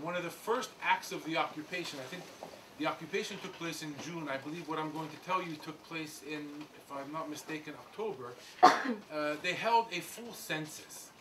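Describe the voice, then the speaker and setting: A middle-aged American man talks to a visiting group in a relaxed, conversational way, walking them through his own story with small asides. His voice sits a little high for a man. The mic is some distance away and clear room noise runs under him. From United States. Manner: conversational, explains step by step with asides. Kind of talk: informal talk to a group.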